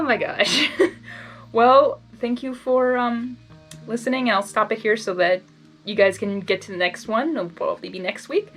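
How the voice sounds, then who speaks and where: Young woman from Hungary, casual reader-host, excitable, rambling commentary, mid-range voice, music underneath. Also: mid-range voice. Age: young adult. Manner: excitable, rambling, interrupts herself with reactions. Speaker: fan fiction reader and video host. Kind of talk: casual blind reading with personal commentary.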